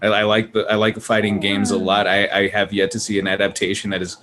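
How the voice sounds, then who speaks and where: A man's voice: on the low side, completely flat delivery, fairly quick, crowd noise. A man co-hosting a casual gaming podcast, joking and restarting his sentences as he talks. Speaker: podcast co-host. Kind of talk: casual chat.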